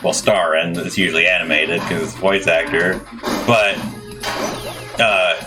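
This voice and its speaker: A man's voice: low voice, fairly even intonation, unhurried, music in the background. A man hosting a gameplay video, talking in a rambling, opinionated way and correcting himself as he goes. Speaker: gaming channel host. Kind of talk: casual let's play commentary.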